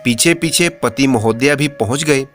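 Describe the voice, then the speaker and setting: A man's voice: medium pitch, normal speed, a bit bright, soft background music. A man narrating a scripted, humorous moral story as a storyteller.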